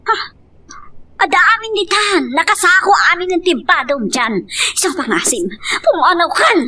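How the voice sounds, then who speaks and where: A very high, bright voice carries this betrayed young wife as acted by a woman. She swings widely in pitch, pleading and angry, in a heartfelt radio-style drama manner. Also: sing-song, normal speed, full character voice. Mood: bitter, firm. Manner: emotional, pleading, outbursts of crying and shouting.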